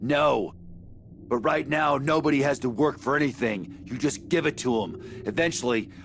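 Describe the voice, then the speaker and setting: A man playing a gruff, cynical warrior in a video game, blunt and slangy, with a gravelly voice. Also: mid-range voice, a bit bright, slight background noise. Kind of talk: English video game voice acting. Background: music.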